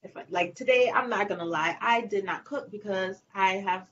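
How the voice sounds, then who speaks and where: Lively woman singer, light voice. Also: mid-range voice, moderate pace. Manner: animated, laughing, acts out quotes. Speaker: interview guest. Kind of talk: casual interview chat. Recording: lo-fi with faint noise.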